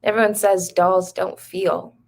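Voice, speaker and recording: An actress playing a troubled grown woman who reads her farewell letter slowly and somberly. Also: mid-range voice, level tone, close to an everyday voice. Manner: slow, somber letter reading.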